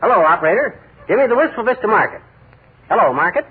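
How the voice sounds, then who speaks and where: An actor playing a boastful, bumbling middle-aged husband in a 1940s radio comedy, talking in a brash, wisecracking way. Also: male voice, sits high, big pitch swings, fast talker, slightly rough, heavily acted. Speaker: comic lead of a radio sitcom. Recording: noisy 1940s radio broadcast, lo-fi.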